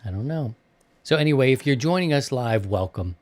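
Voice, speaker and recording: A man's voice: medium pitch, animated, a little fast, a little mellow. A man in his early fifties hosting a hobby radio show in a casual, candid and chatty way. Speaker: host of a ham radio show. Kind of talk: casual podcast chat.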